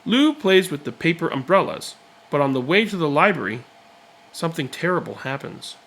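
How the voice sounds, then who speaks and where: A man reading a children's picture book aloud, with expressive, deliberate and dramatic phrasing. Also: a little high, creaky. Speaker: read-aloud storyteller.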